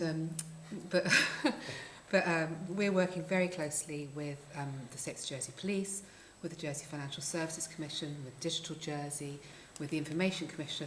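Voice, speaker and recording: Low-voiced woman in an echoey hall. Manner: chatty, light laughs, frequent ums. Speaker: government policy director. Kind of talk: panel introduction. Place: conference hall at a tech fair. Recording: echoey room, noisy.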